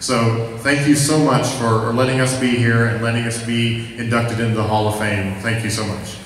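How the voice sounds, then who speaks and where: Male award recipient, flat delivery, thankful speech, far-off reverberant hall. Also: medium pitch, moderate pace. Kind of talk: short acceptance speech. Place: large convention center hall, live event. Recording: echoey hall, distant sound.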